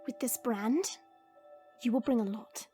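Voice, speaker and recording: A woman playing a regal dragon queen in a scripted audio roleplay, earnest and resolute, with formal, deliberate phrasing. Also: a little high, warm tone, lightly acted. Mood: insistent. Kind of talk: scripted audio roleplay acting with first-person narration. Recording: music behind the voice. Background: background music.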